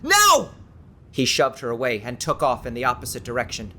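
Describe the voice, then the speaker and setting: A man's voice: medium pitch, fairly even intonation, fast talker, pushed and bright. A man reading an audiobook as dramatic scripted narration.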